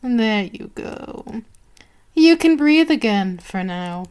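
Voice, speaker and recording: A woman voicing a sadistic, possessive vampire queen in an ASMR roleplay, with a haughty, teasing, drawn-out delivery. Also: a little high, lively intonation, darkish tone, clear, resonant tone. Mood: cruel, commanding.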